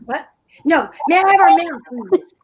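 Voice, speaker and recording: A woman's voice: extremely high pitch, expressive, a little slow. A woman playing an anxious, pushy housewife in old time radio comedy style, insistent and impatient.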